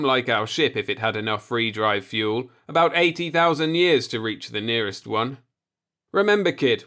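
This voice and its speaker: A man's voice: medium pitch, a bit bright, lightly acted. A man voicing a practical, blunt male spaceship crewman who takes charge, in a sci-fi audiobook. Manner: blunt, matter-of-fact. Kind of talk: storybook dialogue in a sci-fi audiobook.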